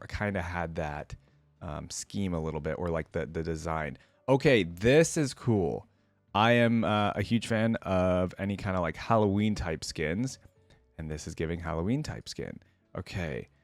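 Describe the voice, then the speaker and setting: A man's voice: lowish voice, dry recording. A man giving casual, enthusiastic reaction commentary as a video host, thinking aloud in an informal way.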